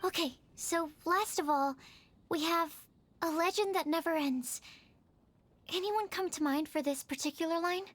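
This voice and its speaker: A woman playing an earnest, shy young female alchemist in a video game, polite and bookish with a soft-spoken, hesitant manner. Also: very high-pitched, moderate pace. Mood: thoughtful. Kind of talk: video game cutscene dialogue. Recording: faint music behind the voice.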